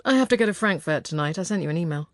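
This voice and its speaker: A woman's voice: fairly low pitch, animated, racing, dry recording, clean studio sound, close to an everyday voice. A woman voicing an easygoing, evasive ex-husband, speaking offhandedly. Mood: offhand. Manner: offhand, casual.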